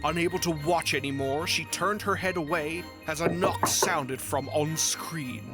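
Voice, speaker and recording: A narrator reads a scripted story in a voice of middling pitch. The delivery is that of prose read aloud. Music plays clearly behind the words. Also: a little slow.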